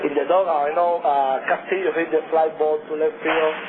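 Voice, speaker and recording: A young man from Cuba with a Cuban Spanish accent and Spanish as his first language, a baseball pitcher answering radio interview questions in halting speech with many restarts. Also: little pitch movement. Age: young adult. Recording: tinny phone-like radio broadcast with noise.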